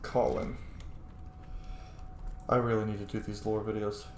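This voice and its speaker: A man's voice: lowish voice, flat delivery, measured pace. A man giving casual, chatty commentary while hosting a video game playthrough. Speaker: gamer, video host. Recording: roomy echo, faint game ambience noise.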